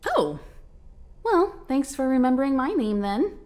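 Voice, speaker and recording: A woman playing a poised, patient and kindly female mentor in a video game, composed and gently reasoning. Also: high-pitched, big pitch swings, measured pace, soft background music. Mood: wry. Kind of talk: video game character dialogue.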